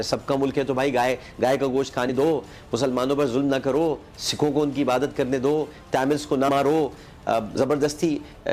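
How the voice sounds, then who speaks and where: A male talk show host with a Pakistani accent speaks in a polemical monologue. His pitch sits in the middle for a man, and he builds his case emphatically with pointed, accusatory rhetoric. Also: a little mellow.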